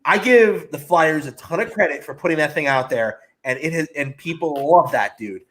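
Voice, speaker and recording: A man's voice: on the high side, lively intonation, moderate pace. A man giving joking opinions in casual sports banter as a panelist on a talk show.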